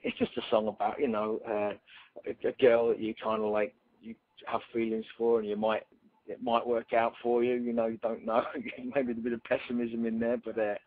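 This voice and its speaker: A man with a raspy, croaky voice answers interview questions in a loose, rambling way, full of fillers. He sounds husky and close to the mic. The sound is dry and tinny, like a phone line. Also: mid-range voice, normal speed, no room sound. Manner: relaxed, rambling, many fillers. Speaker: singer-songwriter guest.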